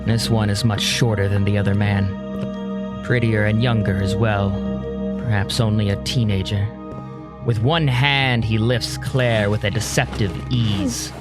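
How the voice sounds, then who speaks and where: A man giving dramatic scripted narration as a storyteller, ominous and deliberate, with pauses. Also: lowish voice, steady tone. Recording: loud music under the voice.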